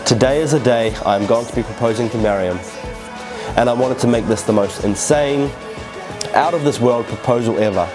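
A young man, a fitness YouTuber telling an excited story to camera, recorded indoors on a lapel mic. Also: medium pitch, moderate pace. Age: young adult. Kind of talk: upbeat vlog narration to camera. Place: indoor living room, seated. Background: background music.

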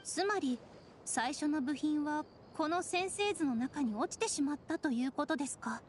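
High-voiced, courteous young strategist. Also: female voice, lively intonation, moderate pace, a little hiss or hum. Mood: calm encouraging. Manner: soft-spoken, courteous, formal. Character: gentle, composed young female military strategist. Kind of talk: Japanese anime game voice acting.

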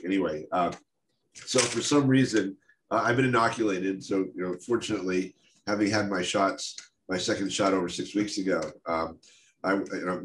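An older American man with a head cold, talking in a halting, casual way on a video call from his home study, full of restarts and wry asides. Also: low voice, noisy background. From United States. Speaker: guest speaker. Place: home office or study, indoors. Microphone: video call webcam.

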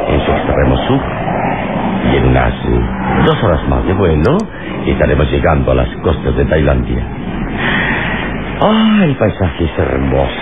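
A serene adventurer and mentor in an old radio play, with a very low voice that swings expressively. He is unhurried and savors his words. The recording is muffled and noisy. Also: full character voice. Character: calm heroic adventurer man, serene wise mentor. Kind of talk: vintage radio drama acting.

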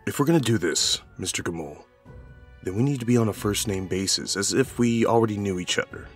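An actor playing a wary, irritable ordinary husband with a guarded, terse delivery in a horror audio drama. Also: male voice, lowish voice, a little fast, soft background music, close to an everyday voice. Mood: reluctant.

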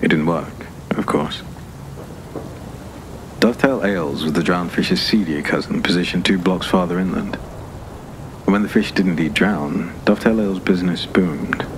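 A man narrating a scripted dark fantasy story as an audiobook narrator. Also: low voice. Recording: clear background ambience noise.

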